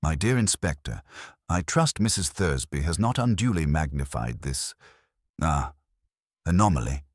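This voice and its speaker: A man acts out a fussy country vicar in an audiobook, very low in pitch. He is genteel and weary, choosing prim words with small hesitations and an expressive rise and fall. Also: measured pace, no echo, studio quality, full character voice. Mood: mildly exasperated. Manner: hesitant, formal, prim phrasing.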